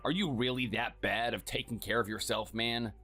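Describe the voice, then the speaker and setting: A performer voicing a cold, contemptuous workaholic husband who talks curtly and dismissively. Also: male voice, medium pitch, steady tone, normal speed, pushed and bright, soft background music. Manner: curt, scornful, dismissive.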